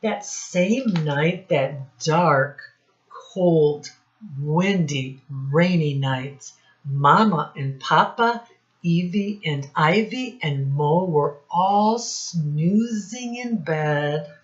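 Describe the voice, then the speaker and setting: Lady teacher doing storytime for little kids, really slow and low, asks questions, kinda muffled audio. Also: animated. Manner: deliberate, instructive, questioning. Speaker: children's teacher reading a storybook aloud. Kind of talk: read-aloud storytelling with questions to young children.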